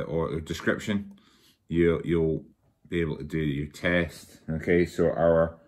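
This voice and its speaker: A man giving an informal hands-on tutorial, hesitant and thinking aloud as he works. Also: low-pitched. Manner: unhurried, hesitant, thinking aloud while working. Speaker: hobbyist presenter of a workshop how-to. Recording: noticeable background noise while working.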